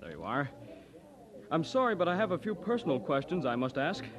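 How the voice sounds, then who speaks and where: An actor playing a matter-of-fact, affable immigration officer in an audio drama. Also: male voice, fairly high pitch, very animated, a little fast. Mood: businesslike. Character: businesslike, easygoing male immigration officer. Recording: dull sound, busy crowd behind.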